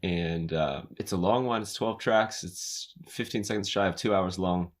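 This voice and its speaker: A man from California, with an American accent tinged with Australian, chatting as a guest singer-songwriter in a relaxed, hesitant way full of fillers. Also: sits low, normal speed. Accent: American, slightly Australian. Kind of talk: casual interview chat. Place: his home recording studio.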